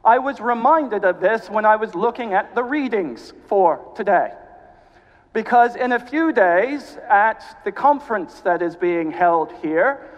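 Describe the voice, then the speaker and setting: A man's voice: high voice, animated. A man from South Carolina with a mid-Atlantic accent, a cathedral canon giving a guest sermon with dry humor; he is jet lagged. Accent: mid-Atlantic, American Southern with British. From South Carolina, USA. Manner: genial, dry humor, measured. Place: church. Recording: dull sound, long reverb of a church.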